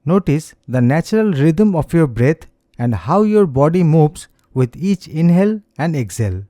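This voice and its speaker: A man leading a slow guided meditation as an instructor, speaking in a gentle, soothing, scripted manner. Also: fairly high pitch, very animated, dry recording.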